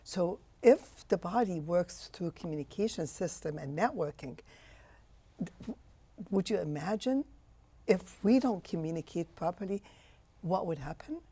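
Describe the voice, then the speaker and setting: Husky, dark-voiced woman in her sixties. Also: on the low side, animated, a little slow. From Egypt. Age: 60s. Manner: passionate, emphatic, repeats key phrases. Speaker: physician guest. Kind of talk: interview answers.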